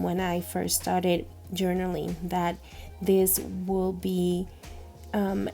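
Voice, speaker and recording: A Puerto Rican woman in her thirties talks slowly and gently, with a Spanish-speaker's accent and a mellow, low, gravelly tone. Her voice-over is calm and reflective, with soft fillers as she walks through her journal. Light music plays under her. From Puerto Rico. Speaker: journaling vlogger.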